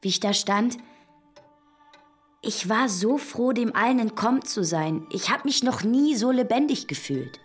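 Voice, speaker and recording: A woman playing an intense, idealistic young activist, speaking with earnest, measured conviction in a naturalistic radio drama. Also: fairly high pitch, expressive, husky, faint music behind. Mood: earnest, resolute. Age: young adult.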